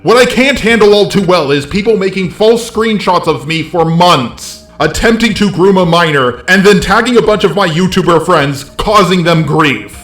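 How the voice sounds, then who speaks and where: A man's voice: high-pitched, animated, moderate pace, hardly any pauses, fairly bright tone. Robotic male voice, roomy echo. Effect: synthetic robot voice. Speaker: video creator. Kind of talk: scripted video commentary.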